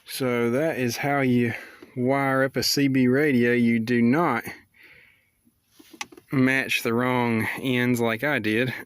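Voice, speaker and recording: A man's voice: medium pitch, little pitch movement, takes it slowly, clear, resonant tone, no room sound. A man casually narrating a hands-on how-to in a folksy, plainspoken way. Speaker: vlogger showing a DIY repair.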